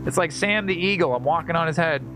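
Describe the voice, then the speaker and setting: A man's voice: on the high side, fast talker, poor audio. A man doing casual live game commentary and chatting with viewers in a jokey, exclamatory way, often repeating his phrases. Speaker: game streamer. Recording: loud game music behind the voice. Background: loud video game music.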